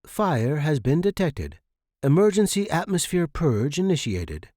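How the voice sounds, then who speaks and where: Calm station computer doing measured alerts, guy reading it, sci-fi audiobook, really dry sound. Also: fairly high pitch, dry recording, pitched above the performer's own voice. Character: calm station computer announcing a fire emergency.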